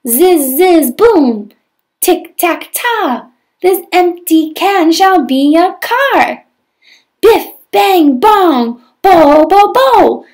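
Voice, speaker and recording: A woman's voice: very high voice, animated, very slow, a little mellow, voice pushed up, exaggerated voice. A woman voicing a bungling little fairy godmother from a children's storybook, chanting her spells emphatically. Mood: grand, incanting. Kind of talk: children's storybook read-aloud.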